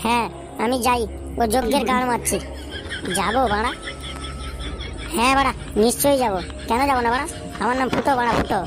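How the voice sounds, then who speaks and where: A woman's voice: fairly high pitch, fairly even intonation, fairly quick, pauses often, over music, natural voice. A woman voicing a crude, boastful young boyfriend in a comic cartoon dub. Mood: uneasy, nosy. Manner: crude, swaggering, repetitive. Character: foul-mouthed cocky young boyfriend, boastful yet cowardly.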